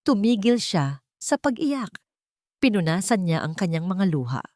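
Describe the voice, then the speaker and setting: A woman's voice: medium pitch, sing-song, a little slow, a little mellow, no echo, clean studio sound. A woman with a Filipino accent narrating a dramatic audio story, voicing the characters' lines herself.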